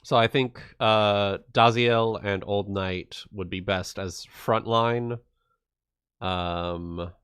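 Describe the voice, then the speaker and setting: A man's voice: fairly low pitch, steady tone, takes it slowly, a bit bright, smooth, no echo, studio quality. A man playing in an online tabletop game, planning aloud with fillers. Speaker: player. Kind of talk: casual game talk.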